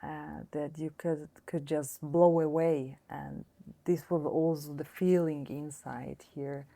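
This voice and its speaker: A woman's voice: sits low, steady tone, slow pace, vocal fry, dark tone. A middle-aged woman from Austria speaking as an interview guest, hesitant, with many fillers and restarts. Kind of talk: casual interview conversation.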